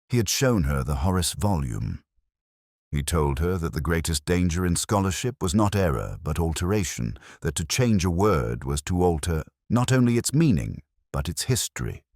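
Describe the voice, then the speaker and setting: Very deep-voiced man narrating a solemn mystery. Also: darkish tone, no room sound, clean studio sound. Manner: measured, literary, solemn delivery. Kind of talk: scripted first-person detective story narration.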